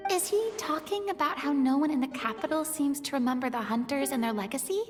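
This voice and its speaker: A woman voicing an earnest, excitable young adventurer in an anime video game, expressive and theatrical over music. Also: sits high, fairly quick, natural voice. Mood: puzzled, hushed. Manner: expressive, slipping in and out of theatrical role-play. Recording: music behind the voice.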